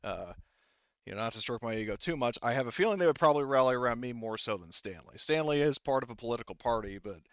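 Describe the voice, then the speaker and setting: Clear-voiced man running a tabletop game, halting. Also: mid-range voice, animated, no room sound, muffled recording. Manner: halting, filler-heavy, rambling explanations. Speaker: game master. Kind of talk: improvised tabletop game narration.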